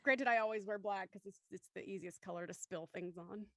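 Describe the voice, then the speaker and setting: A woman's voice: mid-range voice, a little fast, pushed and bright, no room sound, studio quality. A woman hosting a live online show in a chatty, encouraging way. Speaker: host and narrator.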